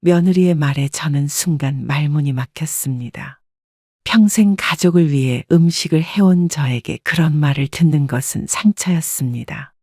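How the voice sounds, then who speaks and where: A woman calmly reading a scripted first-person family story as narrator. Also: sits low, normal speed, no room sound, clean studio sound.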